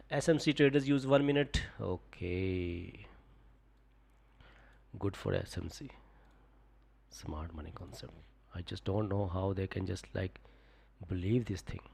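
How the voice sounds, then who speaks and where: A man's voice: deep voice, takes it slowly, creaky, long pauses, breathy edge. A young man, talking casually on a live stream about trades and reading out viewer comments, full of "just, like" fillers. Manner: relaxed, repetitive fillers, coaching viewers. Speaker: forex trading streamer.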